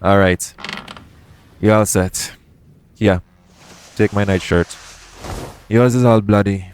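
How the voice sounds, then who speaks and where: A man playing a roguish, caring pirate captain in an intimate audio roleplay, speaking in a soothing, gently teasing manner. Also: on the low side, fairly even intonation, slow pace, a little hiss or hum. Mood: gentle, reassuring.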